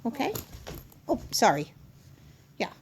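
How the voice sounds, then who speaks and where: A woman's voice: fairly high pitch, big pitch swings, slow pace, a little hiss or hum. A woman helping a young child read a picture book aloud, casually prompting, echoing lines and encouraging in child-directed speech.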